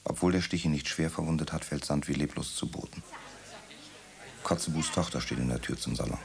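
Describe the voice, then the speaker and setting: A man narrating a radio drama in a calm, measured way. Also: rumbling low. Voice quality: gravelly.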